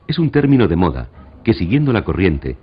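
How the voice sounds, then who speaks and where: A man with an accent from Spain reading scripted documentary narration for radio in a formal, measured way. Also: sits low, very animated. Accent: Spanish, from Spain. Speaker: radio programme presenter. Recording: dull radio playback in echoey hall.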